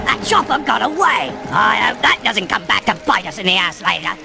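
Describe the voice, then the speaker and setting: A gung-ho creature war hero from a cartoon action game. The voice is gravelly and animated, with brash, wry delivery. Loud music plays behind it. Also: mid-range voice, fairly quick. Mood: wry, determined.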